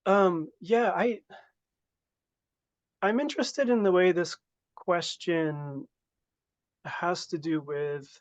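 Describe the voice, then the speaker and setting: A man on a literary webinar panel speaks slowly and carefully, feeling his way toward each point. His audio is dry and dull, coming in over a video call. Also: sits high, no room sound. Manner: tentative, thoughtful. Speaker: panelist, scholar. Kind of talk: round-table webinar discussion.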